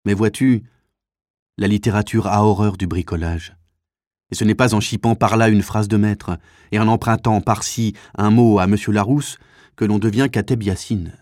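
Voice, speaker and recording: A man's voice: lowish voice, fairly even intonation, moderate pace, a little mellow, dry recording, lightly acted. Witty, teasing male teacher for a literary audiobook, played by a man narrating, mock-pompous lecturing, very dry recording.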